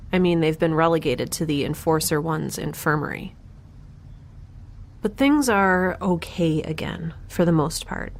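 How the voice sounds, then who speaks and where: Over an audibly noisy ambient bed, a young woman living in space in a sci-fi audio drama. Her voice sits on the low side. She is blunt and inquisitive, analysing things in a wry, rambling way.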